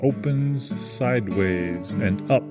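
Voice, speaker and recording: A man's voice: on the low side, lively intonation, slow. A man playing an entitled, pompous fellow who declaims his demands in an improv comedy. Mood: imperious. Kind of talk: improv comedy audio theatre. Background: guitar.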